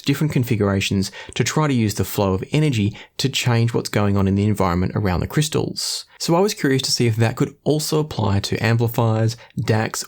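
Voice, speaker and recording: A man hosting an audio review, explaining things in a measured, conversational way. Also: fairly low pitch, darkish tone. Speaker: host of an audio review show. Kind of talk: scripted-sounding product review to camera.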